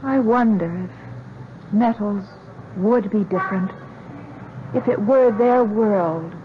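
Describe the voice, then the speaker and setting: A woman's voice: mid-range voice, slow, close to an everyday voice. An actress playing a troubled, evasive woman with a hesitant, wistful manner in a 1970s radio drama. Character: troubled, evasive, soft-hearted woman with a secret. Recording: muffled, hissy old radio broadcast.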